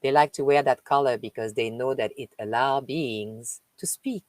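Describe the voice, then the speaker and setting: A woman from France with a French accent, a spiritual host reading a text aloud and stopping for chatty asides, with slow, emphatic delivery. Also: very deep voice, darkish tone, dry recording. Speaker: spiritual live-stream host. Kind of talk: reading aloud with spoken commentary.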